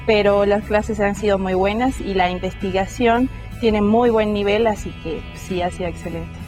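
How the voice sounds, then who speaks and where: A woman's voice: medium pitch, fairly even intonation, moderate pace, a little mellow, faint music behind. A woman from Colombia with a Colombian accent, a master's student explaining her research fluently. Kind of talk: interview testimonial.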